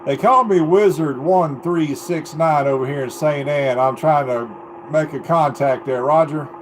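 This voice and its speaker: A man's voice: fairly high pitch, a little slow. An American man in a casual, rambling live chat as a radio hobbyist, laid-back in manner. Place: home CB radio room. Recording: very loud steady background noise.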